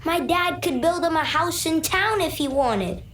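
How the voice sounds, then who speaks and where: A woman's voice: very high-pitched, expressive, measured pace, a bit bright, roomy sound, a little hiss or hum, exaggerated voice. A woman voicing a chatty, opinionated young child in a video game. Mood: opinionated, dismissive. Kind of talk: voiced video game dialogue.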